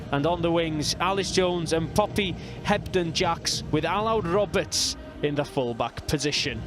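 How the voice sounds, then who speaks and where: A man from North Wales with a North Welsh accent, calling a rugby match as live commentator in a measured, steady way. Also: on the high side. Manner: measured, reads out team lines steadily.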